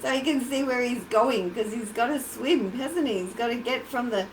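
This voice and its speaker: A woman's voice: on the high side, normal speed. An Australian-accented woman hosting a casual children's craft livestream, chatty and encouraging as she talks directly to the kids. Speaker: children's storytime and craft host. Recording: echoey, noisy livestream from a distance.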